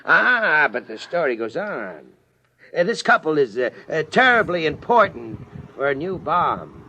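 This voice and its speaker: An actor in a 1950s radio drama playing a glib schemer who poses as a film producer to trap a couple. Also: male voice, fairly high pitch, sing-song, measured pace, pushed and bright, heavily acted. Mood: sinister. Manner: glib, wheedling patter that turns to barked orders. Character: smooth-talking scheming male time searcher posing as film producer. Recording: dull old radio transcription with faint hiss.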